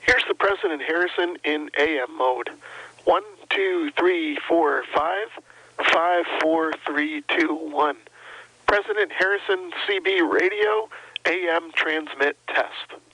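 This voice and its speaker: An American man making deliberate test announcements, heard through a two-way radio transmission. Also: a little high, sharp tone, dry recording, rough recording. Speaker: radio tester. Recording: received radio signal with hum and hiss.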